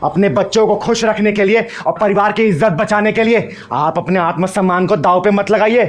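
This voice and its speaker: A man's voice: high voice, a little fast, natural voice. A man playing a bold, righteous kinnar elder sister in a Hindi short film, speaking emphatically. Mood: righteous scolding.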